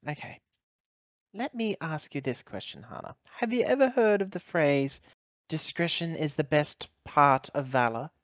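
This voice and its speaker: A woman's voice: low voice, expressive, measured pace, no room sound, dull audio. A woman playing a sardonic, exasperated corporate executive who sighs and speaks pointedly in a tabletop game. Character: exasperated female corporate executive, sardonic, scolding her subordinate.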